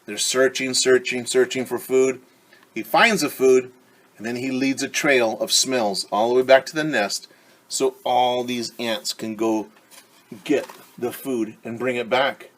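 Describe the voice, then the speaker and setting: A man's voice: mid-range voice, fairly even intonation, unhurried, fairly bright tone. A man teacher reading a picture book aloud to young children, explaining words, asking them questions and adding playful sound effects. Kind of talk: children's story time read-aloud.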